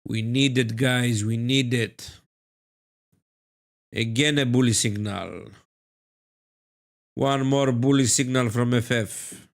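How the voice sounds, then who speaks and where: Greek guy live streaming trades, slow talker, keeps repeating himself, calls chat my beloved friend. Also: medium pitch. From Greece. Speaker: live-stream trading host.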